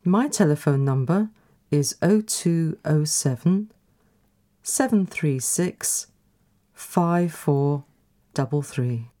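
A woman narrating a scripted language course recording, deliberate and carefully enunciated. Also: sits low, expressive, dark tone. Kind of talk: scripted language-lesson reading.

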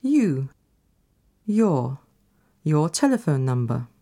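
A woman narrating a scripted language course recording, deliberate and carefully enunciated. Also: sits low, very animated, dark tone. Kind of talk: scripted language-lesson reading.

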